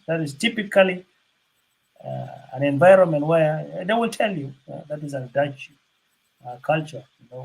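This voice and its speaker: An older man from South Sudan giving unscripted panel remarks, halting, with many fillers and restarts. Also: medium pitch, creaky, darkish tone, breathy edge, low-quality recording.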